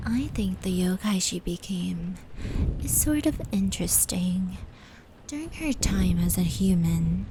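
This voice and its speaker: A woman playing a gentle, knowing fox spirit in an ASMR roleplay, telling stories in a soft, breathy, soothing voice. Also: mid-range voice. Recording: steady rain and brushing noise layered in. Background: indoor rain patter and brushing sounds.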